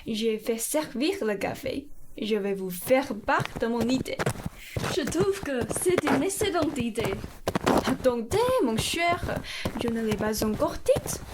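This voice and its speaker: A woman's voice: fairly high pitch, animated, a little slow, heavy background noise. A woman playing a theatrical, gushing wealthy society hostess in a student radio play. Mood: delighted. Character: gushing wealthy society lady hosting guests.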